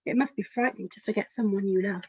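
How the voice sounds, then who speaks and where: A woman's voice: mid-range voice, fast, a little mellow, slightly rough, no echo, dull audio. A woman children's author reading a picture book aloud expressively, speaking directly to young listeners.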